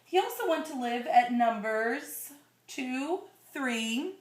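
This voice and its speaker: Woman, picture-book reader, slightly high voice, slow expressive delivery with chatty asides to the listener, roomy sound. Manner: expressive, drawn-out reading with conversational asides. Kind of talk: read-aloud storytelling with questions to the listener.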